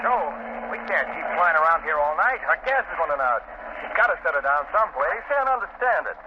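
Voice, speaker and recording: An actor playing a worried airplane flyer, urgent and clipped, in 1930s radio drama style. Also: male voice, on the high side, very animated, brisk. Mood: worried, tense. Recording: dull, noisy 1939 radio recording.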